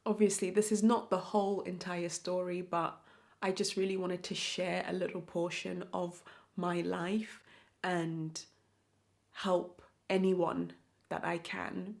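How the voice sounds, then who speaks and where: A woman's voice: on the low side, gravelly. A young woman, speaking to camera in an earnest, reflective personal vlog. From born in Zimbabwe, raised in South Africa. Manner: reflective, earnest, slow and measured. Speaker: vlogger. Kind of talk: personal confessional talk to camera.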